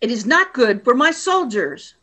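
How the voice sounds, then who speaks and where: A woman's voice: a little high, sing-song, moderate pace, bright, forceful tone, exaggerated voice. A woman playing a cold, imperious alien warrior commander in a radio play, speaking in a stern, formal, commanding way. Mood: curt, haughty.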